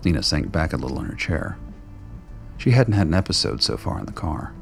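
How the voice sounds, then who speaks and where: A man's voice: rumbling low, very animated, brisk, gravelly, soft background music. A man reading scripted narration as the narrator of a radio drama.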